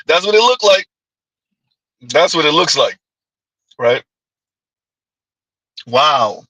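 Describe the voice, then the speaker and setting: A high-pitched man with a very bright, cutting voice, recorded close and dry. He hosts a livestream in a loose, chatty way, reading viewer comments and talking back to them with frequent laughs. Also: big pitch swings, slow, husky, no room sound, low-quality recording. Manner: laughs often, talks back to chat. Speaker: livestream host. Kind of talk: casual commentary reading chat.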